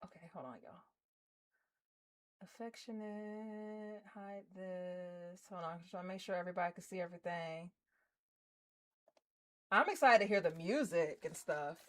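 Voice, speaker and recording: A woman live-streaming a game, reading screen text and chatting to viewers in an excitable, slangy way. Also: on the low side, measured pace, pauses often. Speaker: streamer, host. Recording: music behind the voice. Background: game music.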